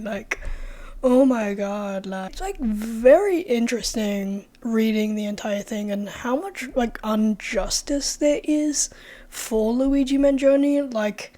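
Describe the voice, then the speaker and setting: A young woman vlogger talking casually and chattily, hoarse and losing her voice after a cold, full of fillers and the odd swear. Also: on the high side, takes it slowly, mellow. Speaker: true-crime vlogger. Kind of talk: casual opinion vlog.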